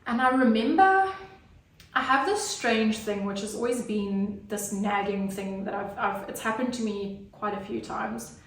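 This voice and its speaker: A woman speaks earnestly, pausing often on fillers. Her voice sits at an ordinary pitch for a woman and sounds a little distant from the mic. The room is very echoey. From Zimbabwe. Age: 30s. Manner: earnest, hesitant, many fillers. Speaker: guest. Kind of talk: personal story in an interview.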